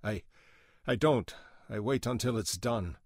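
A man voicing a haunted, angry older male janitor, a camp survivor, with a thick, guttural foreign accent and a halting, trembling delivery. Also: on the low side, a little mellow, slightly rough, no room sound, studio quality. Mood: frightened refusal. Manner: halting, trembling, emotionally raw.

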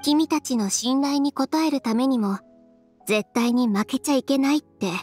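A woman's voice: high voice, normal speed, darkish tone. A woman playing a proud, self-assured young fashion designer in Japanese game acting, with a cool, assertive, teasing manner. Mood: gentle, sincere. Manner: cool, assertive, teasing, crisp feminine phrasing. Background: background music.

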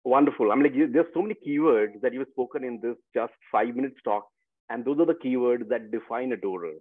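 A man's voice: medium pitch, fast, slightly rough, dry recording. A male host introduces and responds to his guest in a loose, chatty way, filling gaps with "I mean, like." His voice comes through a headset on a video call and sounds thin and phone-like, with no room sound around it. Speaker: webinar host. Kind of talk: hosting an online masterclass. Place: room with bookshelves, indoors. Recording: dry, tinny phone-like line.

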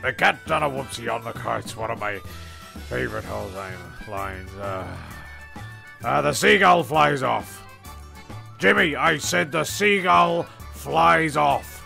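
A man's voice: medium pitch, animated, unhurried, vocal fry, pushed and bright, faint music behind, full character voice. A man playing a flustered, exasperated narrator of a comic castaway audio serial, rambling and stumbling over his words.

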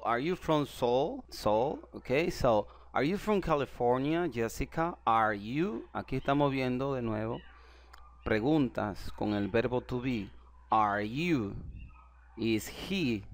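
A man whose first language is Spanish, teaching an English lesson in Spanish and slowly repeating phrases in both languages. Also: medium pitch.

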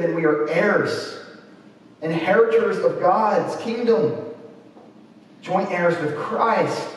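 A man in his twenties preaching a sermon from a church pulpit, reading and explaining scripture. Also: fairly high pitch. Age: 20s. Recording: echoey church, distant mic, faint noise.

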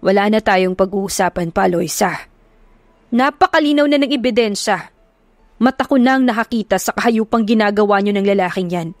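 A woman's voice: medium pitch, moderate pace, dry recording, natural voice. A woman narrator voicing a young businessman who bitterly confronts his unfaithful girlfriend. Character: hurt, angry young man, a CEO.

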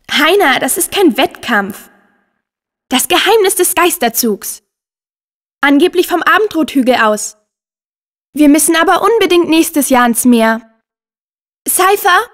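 Friendly teen girl from an adventure game, high voice, kinda unhurried, upbeat n earnest. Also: a bit bright. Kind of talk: German video game dubbing.

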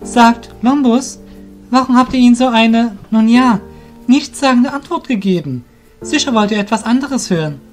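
A man's voice: extremely high pitch, normal speed, faint music behind, pitched above the performer's own voice. A man voicing Seki's part in a radio play, an earnest, curious young apprentice with a frank, respectful way of asking.

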